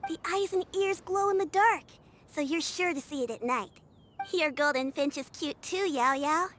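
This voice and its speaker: A caring little girl from a video game. The voice is extremely high, gentle and innocent, over slight background noise. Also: normal speed. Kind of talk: video game character voice acting.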